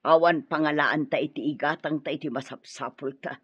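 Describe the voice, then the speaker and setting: A woman playing an ailing, talkative older mother in a radio drama, with an Ilocano Filipino accent and a husky voice. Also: deep voice, fairly even intonation, a little fast, a bit bright, no room sound. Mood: weary, worried. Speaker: voice actress. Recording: dull lo-fi radio drama audio.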